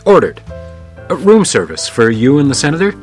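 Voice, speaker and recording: An actor playing a composed, world-weary hotel house detective in a noir radio drama, wry and probing. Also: male voice, on the high side, huge pitch swings, brisk, soft background music. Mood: wry, pressing. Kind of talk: radio drama acting with first-person noir narration.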